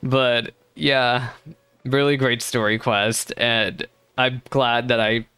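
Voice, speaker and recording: A woman giving casual, expressive reaction commentary on a video game, talking back to its characters. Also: rumbling low, slow, clean tone, no echo. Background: game music and dialogue.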